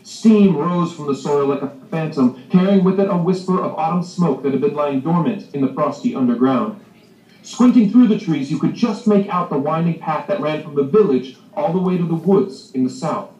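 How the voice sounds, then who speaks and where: Roomy, distant, higher-voiced man addressing children. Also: animated, normal speed, warm tone. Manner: enthusiastic, addresses children directly. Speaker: children's author presenting his book to a class. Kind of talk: animated school talk and storybook reading. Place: video call played into a school library. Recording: roomy, distant video-call sound.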